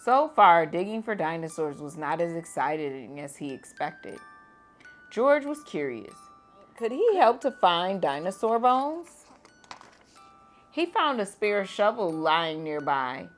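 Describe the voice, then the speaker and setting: A teacher reading a children's picture book aloud at bedtime, gentle and expressive. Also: female voice, lowish voice, slow, faint music behind.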